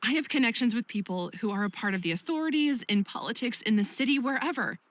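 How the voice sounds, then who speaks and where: A woman's voice: fairly high pitch, expressive, fast, dry recording, lightly acted. A woman playing a caring, protective young best friend in a visual novel game, earnest and emotive. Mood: eager, planning.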